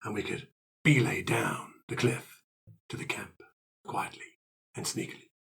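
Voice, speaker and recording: A man's voice: fairly low pitch, unhurried, vocal fry, fairly bright tone. A man playing a tabletop roleplaying game, slipping casually into character with loose, playful banter.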